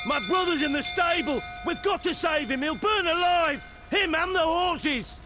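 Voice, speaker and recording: A man's voice: extremely high pitch, unhurried, bright, forceful tone, soft background music, full character voice. A man playing a frantic villager in a video game, pleading urgently and exclaiming. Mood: panicked. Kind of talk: heightened video game acting.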